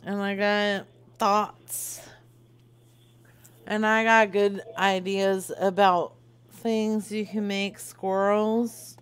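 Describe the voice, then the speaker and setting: A woman's voice: mid-range voice, flat delivery, drawn-out words, clear, resonant tone. A woman hosting a prank call show, improvising casually in a crude, teasing, rambling way.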